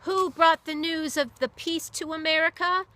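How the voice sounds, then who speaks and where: A woman's voice: extremely high pitch, fairly even intonation, normal speed, sharp tone, pitched above the performer's own voice. A woman voicing a big, rowdy male sailor who bellows questions to a cheering crowd in a read-aloud story. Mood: roaring. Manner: bellowing, rousing.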